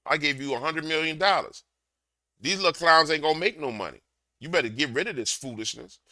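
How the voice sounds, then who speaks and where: A man with a big booming voice doing a slangy, heated reaction rant into a desk microphone. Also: brisk, dry recording, studio quality. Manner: loud, slangy, repetitive, heated. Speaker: commentator reacting to a clip. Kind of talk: ranting reaction commentary.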